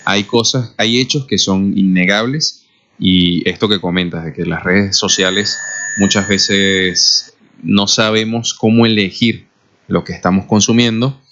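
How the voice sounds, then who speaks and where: A man's voice: on the low side, steady tone, unhurried, a bit bright. A man with a Venezuelan accent hosting a radio interview, speaking thoughtfully and hesitantly, with many fillers. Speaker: radio host. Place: radio studio. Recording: muffled lo-fi radio recording.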